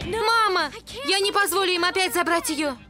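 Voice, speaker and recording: An earnest young angel girl in a cartoon dub. Her voice sits very high and sounds caring and anxious. Music plays clearly behind her. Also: normal speed. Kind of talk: dubbed cartoon acting.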